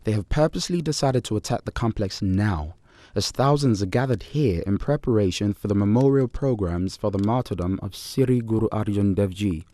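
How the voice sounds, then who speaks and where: An actor playing a solemn, commanding armed militant in an audio drama, speaking in a grave and deliberate way. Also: male voice, lowish voice, mellow, no echo, close to an everyday voice.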